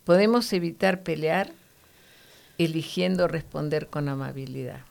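Low, gravelly-voiced woman teaching the Bible. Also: normal speed, a little mellow. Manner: earnest, teacherly, frequent "¿no?" tags.

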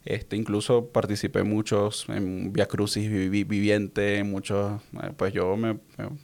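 A man's voice: fairly low pitch, little pitch movement, a little slow, gravelly, darkish tone. A young man with a Venezuelan accent giving casual personal testimony as a guest, meandering and often repeating words as he searches for them. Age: young adult. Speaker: interview guest.

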